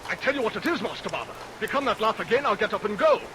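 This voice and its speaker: An actor playing a blunt, easily indignant gentleman customer, declaiming in old-time radio melodrama style. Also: sits high, rapid-fire. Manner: emphatic, declamatory. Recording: noisy vintage radio recording, rain over it. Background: steady rain.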